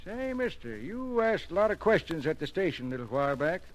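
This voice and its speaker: A man's voice: on the high side, big pitch swings, a little fast. A man playing a blunt, nosy small-town sheriff with rustic, folksy talk in an old radio drama. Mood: folksy, welcoming. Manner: rustic drawl, folksy grammar. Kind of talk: old-time radio detective drama acting.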